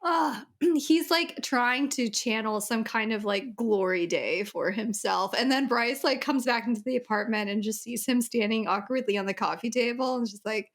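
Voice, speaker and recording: A woman's voice: on the high side, moderate pace, few pauses. A woman co-hosting a casual book discussion in a chatty, loosely strung way, peppered with "like". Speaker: podcast co-host.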